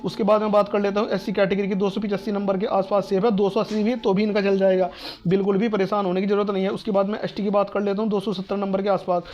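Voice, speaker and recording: Male online exam adviser, North Indian accent, even delivery, repetitive and reassuring, slightly muffled lo-fi recording. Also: high-pitched, quick pace, a little hiss or hum. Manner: repetitive, reassuring, asks and answers own questions. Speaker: exam-advice video host. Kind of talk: informal explanatory talk to students.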